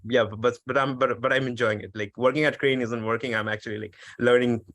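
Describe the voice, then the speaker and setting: A man's voice: mid-range voice, steady tone, fairly quick, a bit bright, no echo, clean studio sound. A young man from Bangladesh answering on a webinar panel, talking earnestly with lots of "like" fillers and restarts. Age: young adult. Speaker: startup team member, economics student. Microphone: video call.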